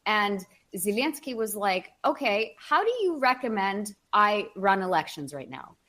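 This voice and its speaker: A woman's voice: mid-range voice, lively intonation, a little slow, a bit bright. A podcast host, a woman from Ukraine whose first language is Russian, telling stories in an earnest, opinionated way. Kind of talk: casual podcast conversation.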